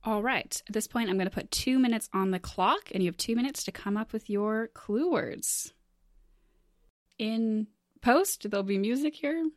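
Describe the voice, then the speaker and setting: A woman's voice: on the high side, normal speed, no echo, studio quality. A woman hosting a casual panel game, chatty and jokey, often laughing as she explains and runs things. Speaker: game show host.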